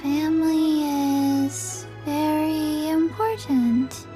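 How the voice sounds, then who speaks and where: Very slow, deadpan little girl. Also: high voice, over music. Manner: deadpan, halting. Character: small, solemn, blank-mannered little girl. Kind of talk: video game voice acting.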